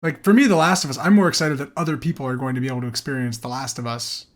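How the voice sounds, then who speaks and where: A man's voice: mid-range voice, steady tone, rapid-fire. A man co-hosting a gaming podcast, chatting casually and informally. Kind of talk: casual group chat about games and films.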